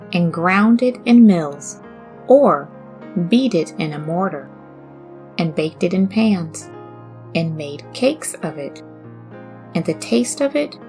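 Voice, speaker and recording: A woman hosting a devotional scripture reading, speaking in a reverent, deliberate and formal manner. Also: lowish voice, darkish tone. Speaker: host and scripture reader. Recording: music bed under the voice. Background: background music.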